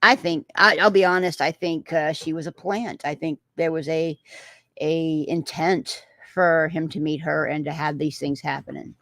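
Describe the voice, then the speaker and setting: A woman's voice: deep voice, steady tone, normal speed, a bit bright, dry recording. A woman with a Texan accent hosting a casual livestream, reading out viewer comments and reacting in a rambling way with many filler sounds and restarts.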